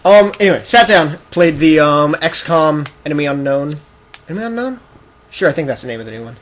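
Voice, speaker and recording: A man's voice: on the high side, lively intonation, brisk, a bit bright. A man hosting a gaming podcast, talking casually and explaining at rambling length while a video game is played, sometimes acting out imagined quotes. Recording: noisy amateur podcast recording with game audio. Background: video game sound.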